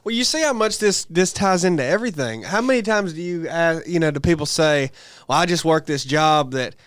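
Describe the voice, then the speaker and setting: American man, fairly high clear voice, dry close sound, casual podcast host, emphatic, colloquial. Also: expressive, fairly quick, a bit bright, no room sound. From United States. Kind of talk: casual religious discussion.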